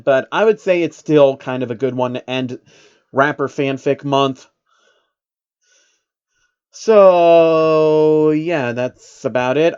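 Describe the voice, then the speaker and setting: A man reading stories aloud as an amateur narrator, with an informal and somewhat halting delivery. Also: mid-range voice, a little mellow, clear, resonant tone. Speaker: host reading fan fiction aloud. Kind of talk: amateur story narration with casual commentary. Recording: dull, lo-fi microphone sound.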